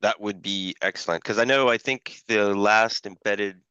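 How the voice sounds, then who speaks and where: A man's voice: lowish voice, pushed and bright, clear, resonant tone, dry recording. Man with an allergy-scratchy voice. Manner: halting, many fillers. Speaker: meeting chair. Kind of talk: online working-group call. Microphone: video call. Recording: dull lo-fi call audio.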